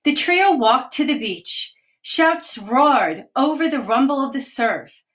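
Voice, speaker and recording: A woman with a slightly raised voice reads a children's picture book aloud over a live video stream. She paces each line gently and clearly, stopping now and then to point out what the pictures show. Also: high-pitched, expressive, slow, slight background noise. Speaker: children's author reading aloud. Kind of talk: storybook reading aloud. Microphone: phone or webcam on a live video stream.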